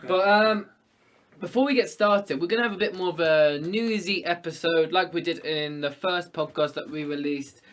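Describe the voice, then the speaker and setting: Chatty higher-voiced young man. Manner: upbeat, chatty, quick shout-outs. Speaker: podcast co-host. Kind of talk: casual chat.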